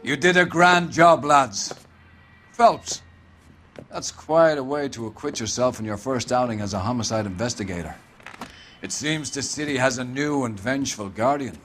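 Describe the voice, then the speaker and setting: A stern, middle-aged police captain, voiced by an actor in a video game, handing out praise with pompous formality. His speech is measured and grand, with an ordinary mid-range pitch. He sounds like a man used to addressing his squad. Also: a bit bright, a little hiss or hum, close to an everyday voice. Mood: approving, solemn. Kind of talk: video game cutscene acting.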